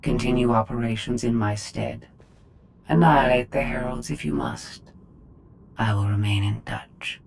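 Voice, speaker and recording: An actress playing a calculating female droid in a video game, speaking in a measured, formal, superior manner. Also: very deep voice, gravelly, darkish tone, heavily acted. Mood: proud, detached. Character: cold, calculating female droid, proud of her intellect. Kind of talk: scripted video game acting.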